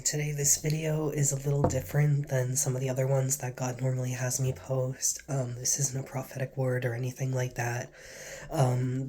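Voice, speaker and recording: A man with a cold and a stuffy nose, telling his faith testimony in a casual, earnest, rambling way, now and then dropping to a soft whisper. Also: mid-range voice. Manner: earnest, rambling, heavy with fillers. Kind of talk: casual spoken testimony to camera.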